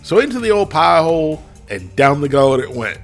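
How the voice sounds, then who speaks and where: Congested man hosting a lively food review. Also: a little high, fairly quick, faint music behind. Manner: folksy and chatty, talks straight to viewers. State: congested, voice a little cracky. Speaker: food reviewer, channel host. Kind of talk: casual video food review.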